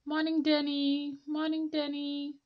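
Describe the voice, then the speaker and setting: A woman's voice: high-pitched, monotone, a little slow, highs cut off, pitched above the performer's own voice. A woman voicing simple storybook villagers in short, emphatic phrases. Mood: cheerful, grateful. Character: cheerful, simple villagers greeting and answering a dragon.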